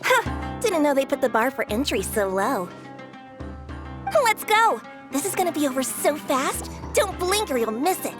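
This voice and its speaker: A woman's voice: high-pitched, sing-song, normal speed, over music. A woman voicing a cocky, combative young rival fighter in a video game, brash, punchy and exclamatory. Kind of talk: English video game dub acting.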